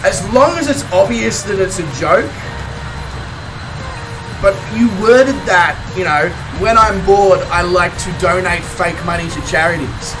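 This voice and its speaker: Croaky high-voiced Australian man. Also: unhurried, recorded from a distance, over music, low-quality recording. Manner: chatty, rambling, chuckles between remarks. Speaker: game streamer. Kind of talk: casual chat with live viewers.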